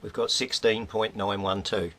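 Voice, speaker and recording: Deep-voiced man, informal repair narration. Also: steady tone, normal speed, fairly bright tone. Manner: thinks aloud while working. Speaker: hobbyist radio repairer and video maker. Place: indoor cluttered home workbench. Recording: rumbly background noise.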